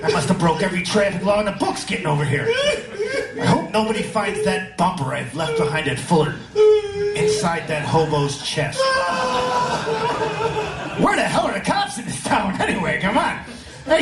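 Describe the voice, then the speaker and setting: A man playing a blustering, hard-drinking old police captain in a comedic radio drama, loud and folksy. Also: sits high, huge pitch swings, normal speed, distant mic, heavily acted. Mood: boisterous. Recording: roomy, off-mic, music behind.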